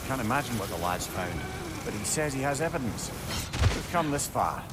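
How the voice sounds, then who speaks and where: A man voicing a talkative, wise and excitable old companion in a video game, with wry, colourful, old-fashioned phrasing. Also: mid-range voice, moderate pace, very noisy, close to an everyday voice. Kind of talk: dramatic video game acting.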